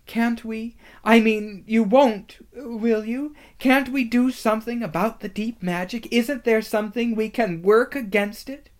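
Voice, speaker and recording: Storybook girl, played by a male reader: high, hushed, hesitant, anxious and pleading. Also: fairly even intonation, measured pace, hardly any pauses. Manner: breathy, hesitant, urgent whispering. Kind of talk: dramatic storybook reading.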